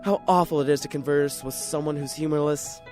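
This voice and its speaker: A man's voice: fairly high pitch, fairly even intonation, fairly quick, darkish tone, soft background music, pitched below the performer's own voice, close to an everyday voice. A man playing a lonely, neurotic, paranoid writer in an introspective, theatrical radio drama monologue. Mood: whimsical, brooding.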